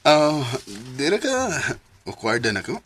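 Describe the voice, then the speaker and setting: An actor with a Visayan Filipino accent playing a soft-spoken, coaxing suitor in his late thirties in a radio drama. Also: on the high side, very animated, a little slow, heavily acted. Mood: awkward, friendly.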